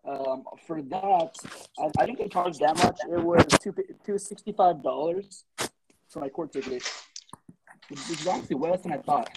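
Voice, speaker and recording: Fast-talking young man with a stutter. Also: fairly high pitch, heavy background noise. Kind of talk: casual chat among friends.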